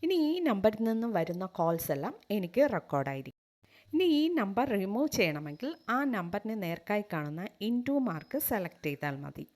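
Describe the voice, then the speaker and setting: A woman's voice: mid-range voice, very animated, normal speed, a little mellow. A woman with a Malayali accent, hosting a tech tutorial and narrating scripted step-by-step instructions.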